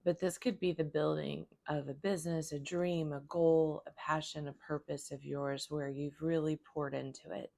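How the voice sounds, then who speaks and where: A woman with a hoarse voice from a cold, giving a calm, flowing spiritual tarot reading. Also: low voice, normal speed, few pauses. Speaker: tarot reader.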